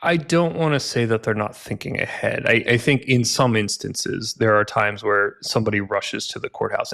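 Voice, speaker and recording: Podcast guest, male: low gravelly voice, even delivery, patient legal explainer. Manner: measured, methodical, legal explanations. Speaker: guest from a gun rights legal group. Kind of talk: explaining litigation in a podcast interview.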